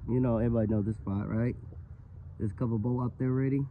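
A man's voice: mid-range voice, steady tone, quick pace. A man hosting a fishing channel, chatting casually outdoors by a river into a handheld phone, with dropped word endings in his speech. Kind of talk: casual outdoor vlog chat. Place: outdoors at a river, on and near a small boat. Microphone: handheld phone near arm's length. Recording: muffled phone-like audio, outdoor boat noise.

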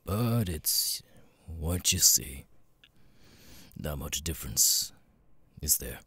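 A man voicing a gruff, jaded cat demon bartender in an ASMR roleplay, muttering and swearing wearily with hesitant pauses in a deep, gravelly rasp. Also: very animated, bright, forceful tone, no room sound, full character voice. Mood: weary, resigned.

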